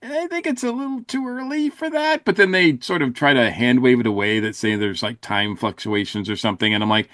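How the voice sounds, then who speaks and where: A man gives a casual review in a medium-pitched voice. He rambles along with emphatic enthusiasm. The sound is dry and close, without room echo. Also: animated, fairly quick, few pauses, fairly bright tone. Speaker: podcast panelist reviewing audio dramas.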